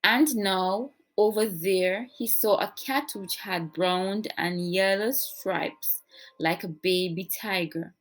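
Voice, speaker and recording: A woman reads a picture book aloud to children at an unhurried pace. Her delivery is deliberate and expressive, shaped like classic storytelling, with a mid-range voice. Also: mid-range voice, soft background music, low-quality recording. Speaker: storybook reader, teacher.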